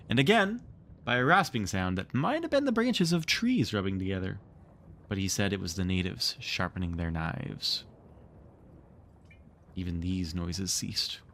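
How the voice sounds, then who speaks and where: A man narrating a storybook read-along with expressive, theatrical storytelling. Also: deep voice, slight background noise. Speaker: streamer reading a book aloud.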